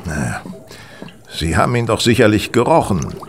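A brilliant, excitable detective in a radio drama. His delivery is highly animated and theatrical, sweeping up and down as he lectures with great self-assurance. Clear effect noise sits behind the voice. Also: moderate pace. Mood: confident, lecturing. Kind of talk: German radio play acting.

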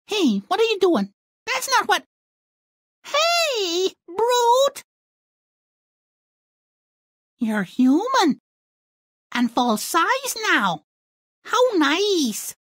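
Very high-pitched spoiled princess. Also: female voice, sing-song, extremely slow, pauses often, fairly bright tone, no echo, studio quality, full character voice. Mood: disgusted. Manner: petulant, melodramatic. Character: spoiled, whiny, dim young princess. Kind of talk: video game fairy-tale character acting.

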